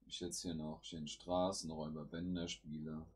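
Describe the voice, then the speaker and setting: Male gameplay host, has a cold, very low and husky voice, talks through each move at home. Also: little pitch movement, moderate pace. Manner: thinks aloud while playing, with fillers. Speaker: gaming channel host. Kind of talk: casual gameplay commentary.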